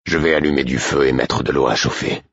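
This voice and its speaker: A stern, weary man, acted by a male audiobook reader, reproves with restraint and patience. The voice is extremely low and husky, in a dull, echoing recording. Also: fairly even intonation, fairly quick, fairly bright tone, heavily acted. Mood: restrained. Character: stern, tired man, gruff but patient and protective. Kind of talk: audiobook character acting.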